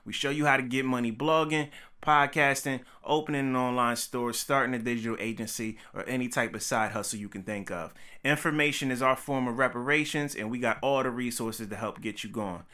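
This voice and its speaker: A man hosting a podcast, delivering the show intro loudly in a hype announcer style. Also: medium pitch, brisk. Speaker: podcast host.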